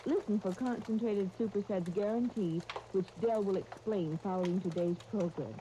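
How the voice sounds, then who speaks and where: A woman's voice: mid-range voice, moderate pace, lightly acted. An actress playing a confiding, enthusiastic woman in a 1930s radio commercial. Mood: persuasive. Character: confiding, enthusiastic woman pitching laundry soap to friends. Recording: muffled, hissy 1939 radio broadcast.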